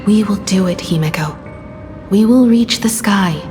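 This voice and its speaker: Woman as a steadfast, slow-spoken young companion. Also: mid-range voice, over music, lightly acted. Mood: reassuring, resolute. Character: steadfast, devoted young female companion, quietly determined. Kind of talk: dramatic game voice acting.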